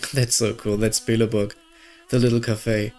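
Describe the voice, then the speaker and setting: A woman's voice: a bass voice, level tone, slow pace, warm tone. A woman giving casual commentary as she plays through a story game. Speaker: gaming video creator. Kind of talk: casual game playthrough commentary, reading story dialogue aloud.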